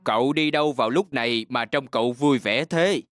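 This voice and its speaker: A man voicing a sly, smooth-talking fox swindler in a children's storybook cartoon. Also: medium pitch, fairly quick, a bit bright, dry recording, studio quality, soft background music, lightly acted. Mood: coaxing.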